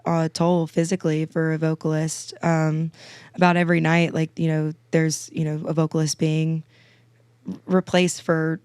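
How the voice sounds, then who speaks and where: A young woman in her twenties, naturally raspy and a bit stuffed up from a cold, chatters eagerly and piles on fillers. Her voice stays smooth and clear under the rasp. The sound is dry and close. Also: low-pitched, a little mellow, no echo. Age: 20s. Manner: chatty, gushing, lots of fillers. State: a little sick, sinusy. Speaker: guest singer-songwriter. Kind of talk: casual podcast chat.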